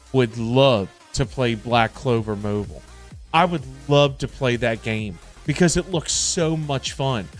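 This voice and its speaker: A middle-aged man chatting casually to livestream viewers in an animated, emphatic way, often repeating himself for emphasis. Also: medium pitch. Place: indoor home streaming setup. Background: music.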